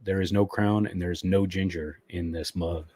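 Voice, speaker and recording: Laid back guy hosting a moto live stream from home, low voice, dry close sound. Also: steady tone, moderate pace, mellow, breathy edge, no room sound. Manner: easygoing and chatty, with fillers. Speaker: motovlog live stream host. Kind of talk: casual live stream chat.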